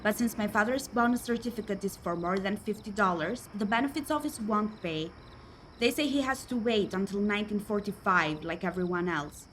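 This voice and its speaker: A woman's voice: medium pitch, normal speed, slight background noise, natural voice, rough recording. Woman playing an aggrieved, plainspoken young woman. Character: aggrieved young woman from large poor family. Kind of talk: radio play acting.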